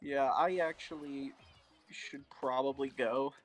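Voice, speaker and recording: A man's voice: medium pitch, steady tone, slow, soft background music. A man taking part in a podcast, chatting casually in a blunt, informal way. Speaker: podcast participant. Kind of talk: casual chat.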